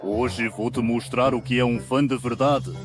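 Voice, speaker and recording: A man's voice: mid-range voice, steady tone, unhurried, music in the background, close to an everyday voice. A man with a Brazilian accent playing a proud, blustering dragon emperor in a dubbed motion comic. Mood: angry, challenging. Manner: blustering, declamatory. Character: proud, hot-tempered elder dragon emperor.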